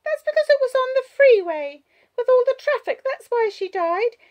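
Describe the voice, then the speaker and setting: A woman voicing a small, innocent girl who is earnest and anxious, in a high childlike voice, in an audiobook story. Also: expressive, moderate pace, a little mellow.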